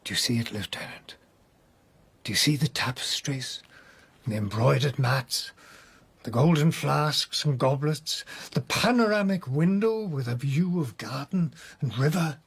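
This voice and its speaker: Slow, husky, deluded Japanese lord. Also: male voice, mid-range voice, expressive, heavily acted. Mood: sardonic, dreamy. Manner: theatrical, drawn-out, breaking into hushed whispers. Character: deluded nobleman prisoner in Heian Japan, theatrical, bitterly wistful. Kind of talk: radio drama acting.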